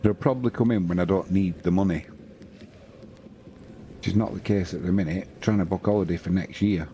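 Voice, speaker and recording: A man in his fifties with a British accent, hosting a live football stream, chatting slowly and reading out scores in an unhurried, relaxed way. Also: sits low, highs cut off, a little hiss or hum. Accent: British English. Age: 50s. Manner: slow, unhurried, chatty, reads scores aloud. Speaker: football commentator and host.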